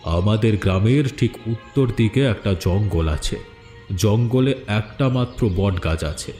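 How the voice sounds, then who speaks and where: An insistent village man played by a male actor speaks in a dark, lowish voice. His delivery is firm and dramatic in fairy-tale cartoon style, with noticeable echo and music. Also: measured pace, natural voice. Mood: stern, scheming. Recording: echoey studio dub with background music.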